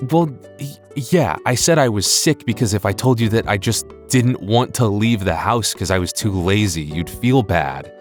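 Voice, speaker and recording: A man with a deep voice talks casually to his audience as the channel's host. He is awkward and self-deprecating, stumbling over his words, with faint music underneath. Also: lively intonation, a little slow. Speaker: audio roleplay creator, host of the channel. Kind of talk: casual scripted outro skit to listeners.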